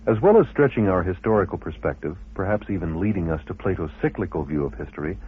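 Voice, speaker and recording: A man with a low, husky voice narrates a radio documentary from a script. He sounds formal and erudite, with lively intonation. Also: quick pace, narrow, tinny audio.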